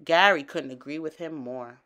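A woman storyteller reading a children's picture book aloud with expressive, dramatic emphasis. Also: low voice, moderate pace, clean tone.

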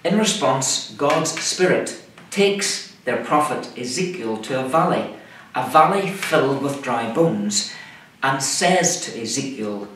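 A man's voice: medium pitch, lively intonation, a bit bright. Echoey, middle-aged male preacher. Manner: measured, deliberate delivery in short phrases. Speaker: minister preaching. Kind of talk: scripted sermon. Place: indoors, small room, seated at a table. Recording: echoey room, far microphone, faint noise.

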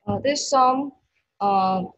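A young woman introducing herself haltingly over a video call from a large room. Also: fairly high pitch, slight background noise. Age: young adult. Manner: hesitant, halting. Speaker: exchange student.

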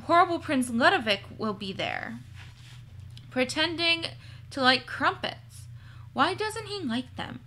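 A woman's voice: high-pitched, pushed and bright, heavily acted. A woman voicing an earnest, worried girl heroine from a storybook, who frets and thinks aloud in a chatty way.

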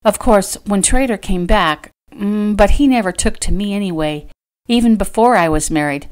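A woman's voice: medium pitch, normal speed, lightly acted. Wistful sighing widow, voiced by a woman. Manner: soft, sorrowful, sighing.

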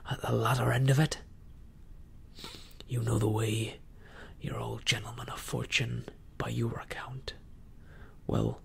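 Man voicing a menacing old pirate, gravelly, husky, low hushed growl, slow. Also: a little hiss or hum, full character voice. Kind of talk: audiobook reading.

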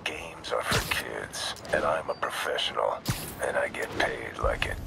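Male mercenary villain, extremely deep, gravelly whisper, cold, smug, menacing, video game. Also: background noise, full character voice. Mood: smug taunting. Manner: slow, smug taunting.

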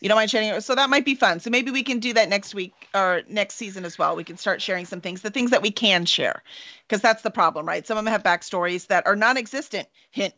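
A woman's voice: mid-range voice, fast, bright, forceful tone. A woman hosting a casual chat with her tabletop players over a video call, rambling and self-deprecating. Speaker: game master and show host. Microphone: video call webcam. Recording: dull lo-fi webcam audio.

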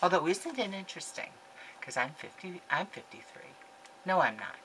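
A woman's voice: fairly low pitch, big pitch swings, normal speed, a bit bright, a little hiss or hum, poor audio. A woman in her fifties chatting in a rambling, gushing way while showing craft supplies from her craft room, recorded on a desk webcam. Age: 50s. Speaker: scrapbooking vlogger. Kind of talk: casual chatty haul video. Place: craft room at home, indoors.

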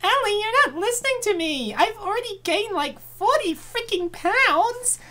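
A woman playing a fretful young college woman in a comic dub. Her voice sits very high and swoops around a lot. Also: lively intonation, measured pace, pushed and bright, heavily acted. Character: anxious young woman student, self-conscious.

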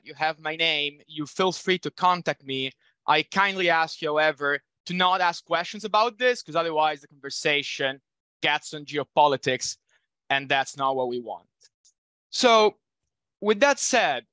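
Young Italian man presenting, bright voice. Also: a little high, dry recording. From Italy. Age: young adult. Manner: apologetic, halting with fillers. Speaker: student presenter. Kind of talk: conference presentation. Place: indoor room at home. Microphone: headset on video call.

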